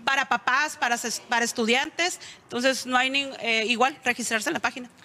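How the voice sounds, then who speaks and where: A middle-aged woman speaks as an interview guest. Her voice is high and bright, and it rings with long studio reverb. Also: fairly even intonation, brisk. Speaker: university promoter, interview guest. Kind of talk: live TV interview. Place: indoor television studio. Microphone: handheld microphone.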